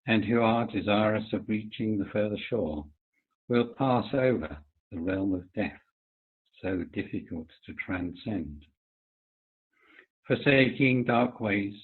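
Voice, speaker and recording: A low-pitched, husky man reads scripture aloud very slowly, setting down each phrase with deliberation. The sound is thin and phone-like, coming over a video call. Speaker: reader, member of a Buddhist community. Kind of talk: reading scripture aloud, measured recitation. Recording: tinny, muffled video-call line.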